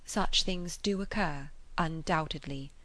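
A reserved and earnest young lady from a classic novel, read by a woman narrator. She speaks formally and with measure, on the low side, in a dry recording. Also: measured pace, fairly bright tone, dry recording, exaggerated voice. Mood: grave, restrained. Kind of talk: classic novel character dialogue.

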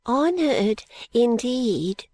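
A woman voicing a nervous, genteel, eccentric elderly lady who speaks slowly and stately and drops into whispers. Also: very animated, dark tone, dry recording, exaggerated voice. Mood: fluttery. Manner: high, slow, stately and confiding, drops to whispers.

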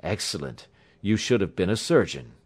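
A male reader acts a doctor in a classic tale, with a lowish voice and expressive swings. He is formal and firm. His manner is that of a concerned, authoritative professional. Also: moderate pace, husky, heavily acted.

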